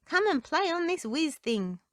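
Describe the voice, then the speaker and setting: A woman's voice: very high voice, big pitch swings, a little slow, fairly bright tone, dry recording, clean studio sound, pitched above the performer's own voice, exaggerated voice. A woman voicing a small, lonely young troll, eager and childlike, in a storybook reading. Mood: eager, happy.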